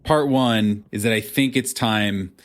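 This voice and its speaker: A man's voice: on the low side, unhurried. A man hosting a casual interview show, talking in wordy asides with playful mock drama.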